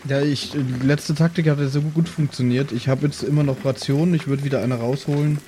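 A man's voice: mid-range voice, steady tone, fairly quick, dark tone, slight background noise. A man chatting casually as a player in an improvised role-playing game. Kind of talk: casual improvised table talk. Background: background music.

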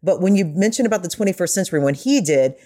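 A woman's voice: on the low side, lively intonation, quick pace, warm tone. A woman hosting a podcast in an emphatic, effusive conversational way. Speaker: guest podcast host.

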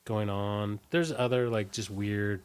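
A man being interviewed in a casual, rambling way, halting and often restarting his sentences. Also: lowish voice, fairly even intonation, clear, resonant tone. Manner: rambling, halting, many false starts. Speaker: interview guest, punk band singer. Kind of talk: casual conversational interview, recollecting.

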